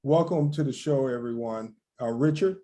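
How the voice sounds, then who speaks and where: A man's voice: mid-range voice, moderate pace, dark tone. A man hosting a talk show, welcoming guests and breaking in with questions. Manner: interjecting, questioning. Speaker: host.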